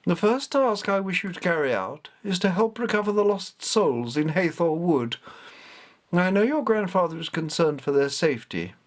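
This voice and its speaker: A man's voice: high-pitched, sing-song, moderate pace, pitched above the performer's own voice. Commanding ancient feline goddess, formal and stately, read by a man in a storybook voice.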